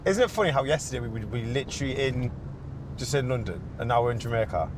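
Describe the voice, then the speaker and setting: A man's voice: medium pitch, expressive, background noise. A Geordie man in his thirties narrating a reality series and chatting candidly with his father. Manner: candid, emotional, quick to tease. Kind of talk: reflective narration and casual chat.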